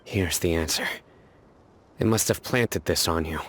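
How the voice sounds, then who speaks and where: Serious desert warrior, deep voice, clipped and measured, decisive. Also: male voice, a little hiss or hum. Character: stern, composed young desert warrior, calm and decisive. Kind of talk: game cutscene voice acting.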